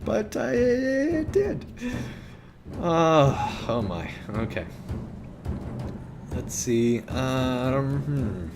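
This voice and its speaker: A man's voice: very animated, extremely slow, pauses often, music in the background. A man casually commenting on a story game, thinking aloud with fillers and restarts, and sometimes reading the game's text aloud. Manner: rambling, thinks aloud with fillers and self-corrections; voice sometimes jumps very high when joking. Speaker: let's play gamer.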